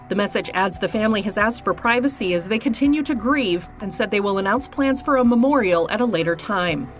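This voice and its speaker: A woman presents a scripted entertainment news obituary. Her voice has a middle pitch, and faint music plays beneath it. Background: music behind the narration.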